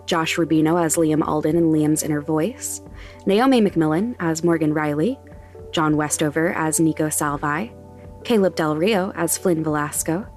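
A woman's voice: lowish voice, a little mellow. A woman reading scripted credits and announcements as the creator of a podcast. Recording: music underneath.

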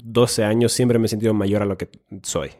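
A man's voice: lowish voice, steady tone, fairly quick, darkish tone. A man, co-hosting a casual, conversational podcast. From born in Finland, has lived in Ecuador. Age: 20s. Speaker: podcast co-host.

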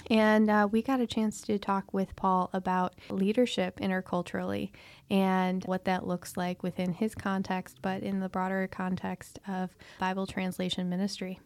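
A woman's voice: medium pitch, little pitch movement, moderate pace, darkish tone, no room sound. A woman co-hosting a podcast, chatty and upbeat, introducing the episode and its guest. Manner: chatty and upbeat, laughs easily. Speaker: podcast co-host. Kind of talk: casual podcast chat.

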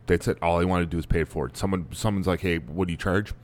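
A man with a deep voice hosting a casual podcast, rambling with lots of fillers. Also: fairly even intonation, fast, slight background noise. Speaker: podcast host. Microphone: desk microphone.

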